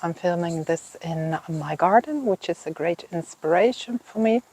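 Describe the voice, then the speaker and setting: A woman in her fifties from Finland, a native Swedish speaker with a Finland-Swedish accent, hosting a knitting podcast in a casual, thoughtful way and pausing often to find her words. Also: lowish voice, a little mellow, slightly rough, rough recording. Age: 50s. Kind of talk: casual chat to camera. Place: outdoors in a home garden.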